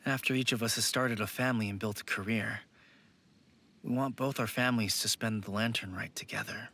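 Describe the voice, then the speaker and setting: A man voicing a wistful, earnest funeral parlor worker in an anime game, speaking in a sincere, measured way. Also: fairly low pitch, steady tone, pushed and bright, slight background noise. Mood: melancholy.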